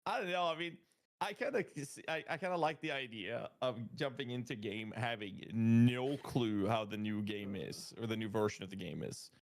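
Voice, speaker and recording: A man chatting on a podcast, telling animated gaming stories and laughing often. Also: medium pitch, normal speed. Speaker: podcast guest. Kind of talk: casual podcast chat.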